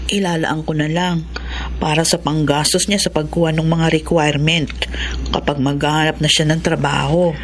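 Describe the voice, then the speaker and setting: A woman's voice: low voice, flat delivery, normal speed, a little hiss or hum. A woman playing a humble, respectful middle-aged mother who works as a housemaid, with a Filipino accent, in a radio drama.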